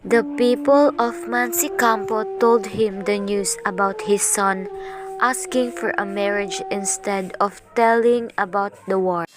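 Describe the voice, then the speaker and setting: A woman narrating a student radio drama in a plain, steady way. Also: medium pitch, measured pace, poor audio. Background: background guitar music.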